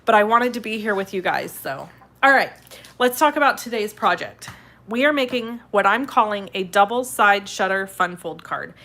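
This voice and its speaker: A woman with a stuffed-up head cold hosting a live card-making tutorial in a chatty, upbeat way, sniffling and clearing her throat now and then. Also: mid-range voice, expressive, normal speed, pushed and bright. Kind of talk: casual live tutorial chat.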